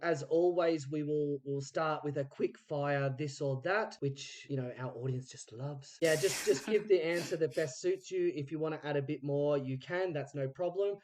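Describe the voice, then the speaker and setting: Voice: medium pitch, normal speed, hardly any pauses, a little mellow. Casual, jokey podcast host. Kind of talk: casual chatty interview.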